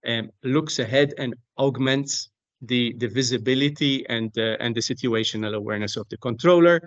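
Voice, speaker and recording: A man speaking as an expert guest in an explanatory interview, deliberate and halting, often repeating words as he searches for phrasing. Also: medium pitch, no echo, muffled recording. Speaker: aviation technology company expert, interview guest. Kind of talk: explanatory interview talk on a technical subject.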